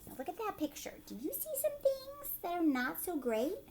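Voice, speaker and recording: A woman teacher reading a picture book aloud to children, expressive, with explanatory asides and questions. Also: extremely high pitch, moderate pace, slight background noise.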